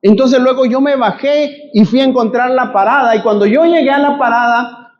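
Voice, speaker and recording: A Guatemalan man preaches in church, with a strikingly high-pitched voice and a Guatemalan Spanish accent. He tells a personal story and keeps addressing the congregation as his brothers and sisters. The recording sounds dull and lo-fi. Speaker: pastor. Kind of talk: sermon with storytelling.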